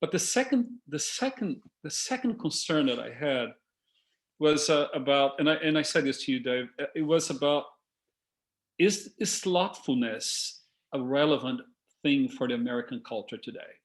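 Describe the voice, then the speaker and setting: A man from Brazil whose first language is Portuguese, speaking English with a Brazilian Portuguese accent, giving a lively, engaging talk to a group on a video call. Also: on the high side, moderate pace. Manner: lively and engaging, addresses listeners as folks. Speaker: guest speaker.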